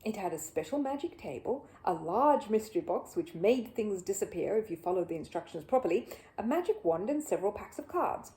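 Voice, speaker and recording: A woman reading a children's book aloud as an expressive storyteller. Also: mid-range voice, brisk. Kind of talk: expressive storybook narration with a casual greeting.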